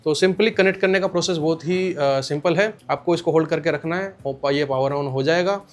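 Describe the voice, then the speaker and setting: An Indian man giving a casual spoken product review, explaining things plainly and repeating points for emphasis. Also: on the high side.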